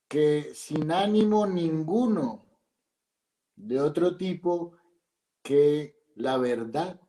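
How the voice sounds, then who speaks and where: A man's voice: medium pitch, warm tone, reverberant, rough recording. A male host speaking effusively and admiringly to his guest, with hesitant restarts. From Italy. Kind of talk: casual interview.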